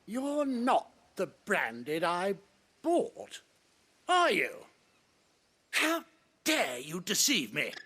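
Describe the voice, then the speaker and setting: A pompous British nobleman for a video game, pitched extremely high and sharp. He is theatrical and cruel, savouring words slowly with haughty disdain. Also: wildly swooping pitch, exaggerated voice. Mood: indignant, scornful.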